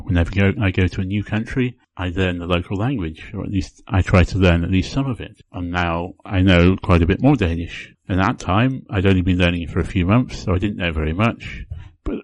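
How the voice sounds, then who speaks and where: A man with a notably low, deep voice talks through his podcast on his own. His delivery is relaxed and chatty, with frequent small restarts and repeated words as he thinks aloud. The sound is close and dry, with no room echo at all. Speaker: podcast host. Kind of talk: casual solo podcast talk.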